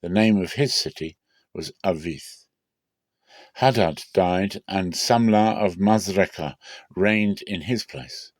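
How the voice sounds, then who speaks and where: A man giving a deliberate, dramatic reading of scripture as a narrator, with measured phrasing. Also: low-pitched, a little mellow, no echo. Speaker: Bible reader.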